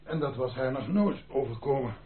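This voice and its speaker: A man's voice: medium pitch, animated, moderate pace, slightly rough. An actor playing a frank, loyal army captain in a Dutch radio drama, deferential but emphatic as he speaks. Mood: grave, recounting. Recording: muffled, band-limited old radio recording.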